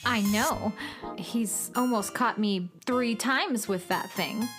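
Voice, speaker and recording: A woman's voice: a little high, slow pace, over music. A woman playing a petulant, bratty girl troublemaker in a children's radio comedy, sneering and taunting with sarcasm. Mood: smug, resigned. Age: child figure.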